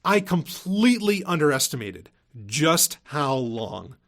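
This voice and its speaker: A man's voice: a little high, sing-song, measured pace, fairly bright tone. A man hosting a podcast in a casual solo monologue, rambling and emphatic, often repeating phrases as he circles back. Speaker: podcast host and audio drama writer.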